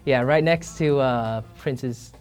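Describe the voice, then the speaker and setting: A young man who leads a band answers interview questions, searching for his words with plenty of fillers. His voice sits at a middle pitch, and faint music plays under him. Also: slow. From born in Singapore, raised in Minnesota. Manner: hesitant, many fillers and restarts. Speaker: band leader, guest. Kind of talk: casual interview.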